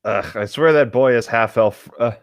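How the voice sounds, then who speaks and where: A man's voice: medium pitch, normal speed, dry recording, studio quality. A man reading aloud and chatting casually as a co-host among friends. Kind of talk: casual group fan fiction read-aloud with banter.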